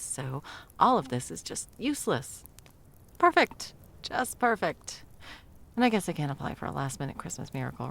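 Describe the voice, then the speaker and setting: Woman doing a flustered sarcastic christmas elf for comedy asmr, low voice that swings all over, muttery and whispery. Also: creaky, exaggerated voice. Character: frazzled, foul-mouthed, inept female Christmas delivery elf. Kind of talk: whimsical comedic ASMR roleplay, talking to herself and listener.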